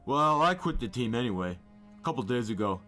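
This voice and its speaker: Man acting out a blunt, bright-voiced teenage older brother. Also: mid-range voice, expressive, brisk, faint music behind. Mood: dismissive. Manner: blunt, offhand. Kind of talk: acted storybook dialogue.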